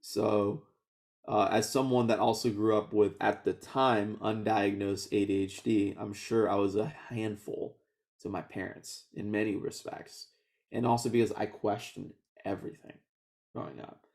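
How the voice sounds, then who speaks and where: A man in his thirties from Houston, whose first language was Vietnamese, telling his life story in a relaxed oral history interview, often laughing and restarting his sentences. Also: lowish voice, gravelly. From Houston, Texas. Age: 30s.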